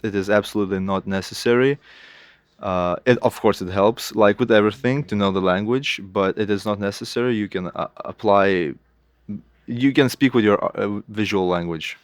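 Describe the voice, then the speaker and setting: A young man with a Lithuanian accent, a native Lithuanian speaker, answering interview questions in halting English and often restarting as he searches for words. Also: fairly low pitch, clear, resonant tone, dry recording. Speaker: guest artist.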